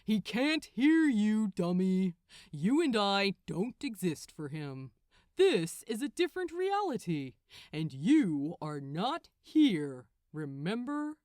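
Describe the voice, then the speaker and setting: Smug, loud archangel man, voiced by a woman. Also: mid-range voice, big pitch swings, slow, no echo, studio quality. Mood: mocking. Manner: taunting, emphatic, sardonic. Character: smug, condescending male archangel, mocking and impatient.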